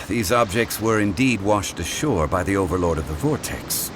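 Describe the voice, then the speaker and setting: Calm, deep learned scholar. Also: male voice, background noise. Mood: composed. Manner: composed, measured and soothing. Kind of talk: game voice acting. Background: game music.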